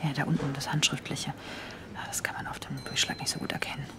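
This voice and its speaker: An actress playing an earnest young German woman in a radio drama, talking in an urgent hush. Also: a bass voice, flat delivery, fast, in a whisper. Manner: hushed, urgent.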